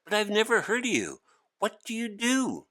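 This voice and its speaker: A man's voice: high-pitched, wildly swooping pitch, normal speed, sharp tone, pitched above the performer's own voice, full character voice. A man voicing a young schoolboy in a storybook, complaining and full of grumbling excuses. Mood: curious, amazed. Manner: whiny, complaining. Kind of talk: storybook character voice.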